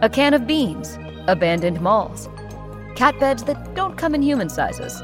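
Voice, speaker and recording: Animated woman trailer announcer over music. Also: fairly high pitch, unhurried. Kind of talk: scripted podcast promo. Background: prominent music.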